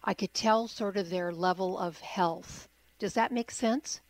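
A woman's voice: mid-range voice, a little slow. A woman hosting a radio talk show, asking long, rambling personal questions and murmuring agreement as she listens. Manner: steady stream of soft backchannel murmurs, rambling personal questions. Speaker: radio host. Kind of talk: radio interview.

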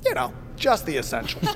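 A man's voice: very high voice, noisy background, full character voice. An actor playing a flippant, sardonic man in a sci-fi audio drama, recorded with long reverb. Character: sarcastic, flippant man sheltering in a bunker.

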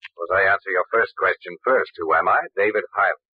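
A man's voice: deep voice, steady tone, fast talker, husky, no echo, dull audio. A man playing a suave, menacing stranger who makes veiled threats in an old-time radio mystery. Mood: suave, insinuating.

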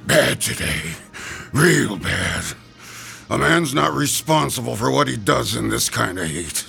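An actor playing a chatty, folksy gravestone carver who sighs about the heat, in classic radio drama style. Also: male voice, mid-range voice, lively intonation, unhurried, vocal fry, sharp tone, exaggerated voice. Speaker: stonecutter.

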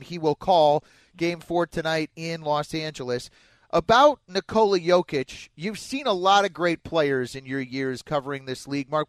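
A man from Denver hosting sports talk radio in an energetic presenting style. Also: on the high side, no room sound. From Denver, United States.

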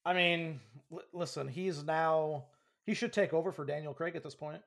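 A young man co-hosting a casual sports podcast, chatty and animated, quick to laugh. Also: fairly high pitch, vocal fry. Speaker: podcast co-host.

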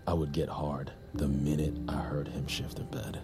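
Deep-voiced, halting young army veteran. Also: male voice, level tone. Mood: urgent, vulnerable. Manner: halting, hesitant, confessional. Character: young army veteran, earnest, awkward, confessing buried shame and desire. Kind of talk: naturalistic audio drama acting.

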